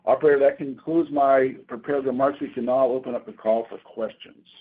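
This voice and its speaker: A man reading prepared company results on an earnings call in a formal, measured, businesslike way. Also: mid-range voice, fairly even intonation, slightly rough. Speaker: company executive presenting quarterly results. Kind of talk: prepared remarks read from a script on an earnings call. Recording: thin, tinny phone conference line.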